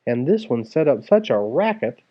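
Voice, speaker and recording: A man's voice: medium pitch, sing-song, normal speed. Male narrator for children's fairy tales: mid-range voice, storytelling delivery, narrow tinny recording. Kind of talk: animated reading of a children's fairy tale. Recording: tinny, phone-like narrow sound.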